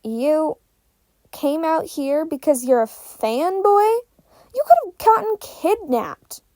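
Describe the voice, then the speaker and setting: A woman's voice: high voice, animated, takes it slowly, dark tone, dry recording, clean studio sound, pitched above the performer's own voice, exaggerated voice. A woman voicing a blunt, skeptical, streetwise homeless boy in an audiobook-style story. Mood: incredulous.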